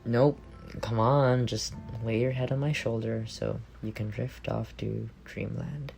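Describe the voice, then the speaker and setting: Guy acting as affectionate teasing roommate, soft creaky male voice, asmr roleplay, bit of music behind. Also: medium pitch, steady tone, a little slow. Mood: fond, soothing. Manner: playful teasing murmur with soft chuckles. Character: affectionate, teasing young roommate secretly in love.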